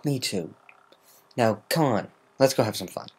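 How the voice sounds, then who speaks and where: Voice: medium pitch, expressive, a little slow, a little mellow, slightly rough. A reader performing a brash, proud cartoon pegasus mare, defensive and hurting underneath, in a dramatic fan fiction reading. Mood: apologetic, friendly.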